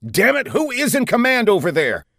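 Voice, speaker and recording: Man as a forceful starship captain barking orders. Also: fairly high pitch, brisk, no room sound, clean studio sound, pitched above the performer's own voice, close to an everyday voice. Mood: desperate anger. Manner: forceful, curt, authoritative. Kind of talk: science fiction audiobook character.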